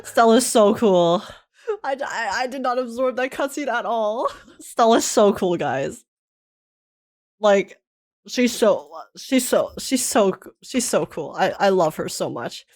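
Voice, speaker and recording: High-pitched, excitable woman streamer. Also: a little slow. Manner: excitable, giggly, emotional. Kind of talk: casual live game reaction commentary.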